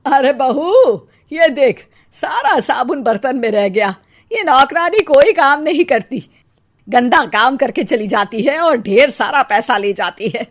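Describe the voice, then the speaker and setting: Woman acting a cranky, high-pitched older mother-in-law. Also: expressive, a little slow. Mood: scolding. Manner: scolding, sarcastic. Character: cranky, sharp-tongued older mother-in-law, quick to take offence. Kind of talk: Hindi cartoon story voice acting.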